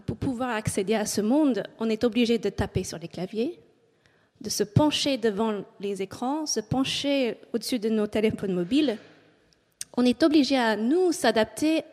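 A woman's voice: on the high side, mellow. A woman from English-speaking Canada speaking French with an English Canadian accent, giving a public talk and hesitating often with filler sounds. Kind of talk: public talk reading from notes. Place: auditorium before an audience. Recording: long hall reverb.

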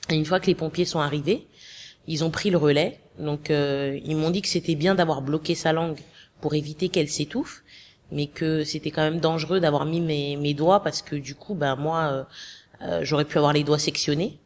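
A woman recounting a personal experience in an interview, hesitant and halting, with frequent fillers. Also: deep voice.

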